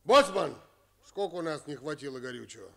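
An actor playing a pondering ship's captain in a radio play, deliberate, thinking aloud. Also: male voice, a little high, animated, gravelly, bright, forceful tone, heavily acted. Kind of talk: Soviet radio play acting.

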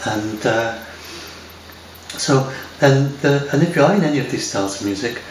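A man's voice: mid-range voice, normal speed. Rambling English man, distant roomy mic. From lives in Scotland. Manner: rambling, hesitant, many fillers and restarts. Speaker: software developer talking to camera. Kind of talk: unscripted talk to camera. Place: home room by large windows. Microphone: far camera. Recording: roomy, distant, noisy home recording.

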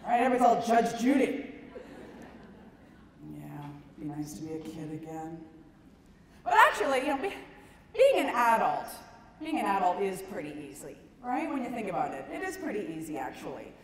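A middle-aged woman giving a stand-up comedy talk to an audience, emphatic and conversational, with dramatic pauses. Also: mid-range voice, sing-song. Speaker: comedian, keynote speaker. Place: large conference ballroom stage. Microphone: handheld microphone. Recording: echoey hall PA, some noise.

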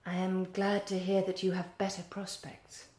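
An actress playing a cool, guarded, estranged wife in a period radio drama, speaking formally and with reserve. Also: female voice, medium pitch, monotone, normal speed, natural voice. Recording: echoey room, quiet and distant.

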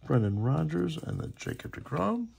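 A man with a gravelly, husky voice giving casual, chatty, appreciative running commentary as he hosts a card-opening video at a table indoors. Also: fairly high pitch, big pitch swings, normal speed, a little mellow, slight background noise. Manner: chatty, appreciative, reading names aloud. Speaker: host opening sports card packs.

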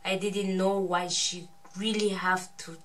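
A woman's voice: medium pitch, fairly even intonation, measured pace. A woman in her thirties hosting a casual family vlog, speaking to viewers and often repeating words. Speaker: vlogger host. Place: small bedroom, indoors.

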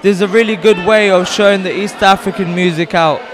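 A man's voice: high voice, fairly even intonation, brisk. A young man from Tanzania, a singer, giving a casual interview into a handheld microphone. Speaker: singer, event guest. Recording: loud event crowd behind.

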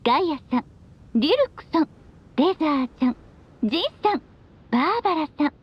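A woman's voice: high voice, lively intonation, a little fast, highs cut off. A woman playing a playful, free-spirited witch mother in a Japanese anime game, teasing and affectionate.

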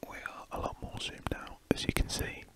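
A man with a British accent whispers breathily and slowly in an unscripted, hesitant ASMR ramble as he works at a desk, close to the microphone. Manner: slow, hesitant, trailing musings. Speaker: host of a drawing ASMR channel. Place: indoors at a desk.

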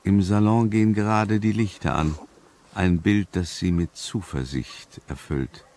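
Very deep male suspense narrator. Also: steady tone, measured pace, a little mellow, a little hiss or hum. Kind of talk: scripted dramatic audio drama narration. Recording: music under the voice.

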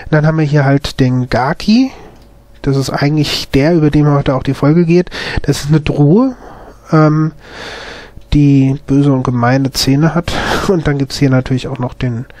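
A man with a mild cold hosts a casual, unscripted game commentary. His voice sits a little high. He often hesitates to find his words, and the sound is very dry, with no room echo. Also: steady tone, a little slow, darkish tone. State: has a slight cold. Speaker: gaming video host.

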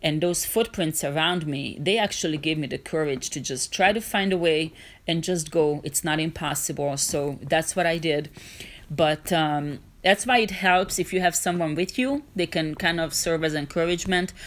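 A woman's voice: low voice, moderate pace. A woman narrating her hiking vlog in a casual, earnest, encouraging way, with small restarts. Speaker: hiking vlogger.

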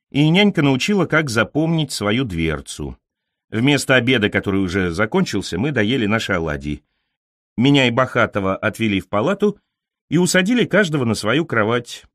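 A man narrates a literary audiobook in a mid-pitched voice. His delivery is expressive, with animated intonation, and the sound is dry and close. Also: no echo.

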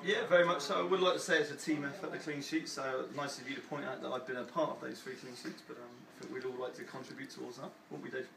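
A man's voice: medium pitch, steady tone, quick pace, creaky, few pauses, over a noisy crowd. A young English man, a goalkeeper, talking modestly with lots of hedging about team effort. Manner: modest, self-deprecating, hedging. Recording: roomy, off mic, people around.

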